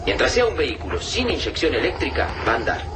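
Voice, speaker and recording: A man's voice: mid-range voice, sing-song, quick pace, very noisy, lightly acted. An Argentine actor playing a resolute, analytical man taking charge of survival in a radio drama. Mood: grim, reasoning.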